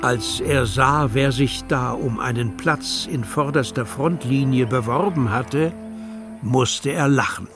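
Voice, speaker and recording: Guy narrating a kids audio story, medium pitch, bit of music behind. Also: slow pace.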